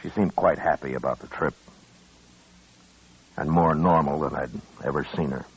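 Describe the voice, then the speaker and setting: A man's voice: rumbling low, steady tone, normal speed, husky, heavily acted. An actor playing a grave frontier lawman with an American accent, narrating his own tale in an old radio western. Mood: subdued, reflective. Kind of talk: 1950s radio western first-person narration. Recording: dull old radio recording, faint hiss.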